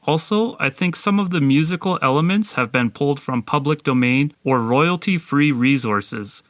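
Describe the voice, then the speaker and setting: A man co-hosting a podcast, delivering a scripted review in a measured presenting style. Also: a little high, lively intonation, fairly bright tone, no room sound.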